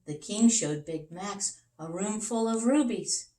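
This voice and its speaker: A woman's voice: mid-range voice, a little slow, mellow, distant mic. A woman teacher reading a children's bedtime story expressively, with small asides about the pictures. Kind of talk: storybook read-aloud for young children. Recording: roomy, echoing sound.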